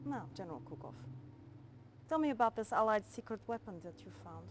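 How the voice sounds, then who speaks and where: A woman playing a cold, commanding, quietly menacing Soviet intelligence chief in a video game cutscene, controlled and authoritative. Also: mid-range voice, expressive, a little fast, close to an everyday voice. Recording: noisy 1990s game cutscene audio.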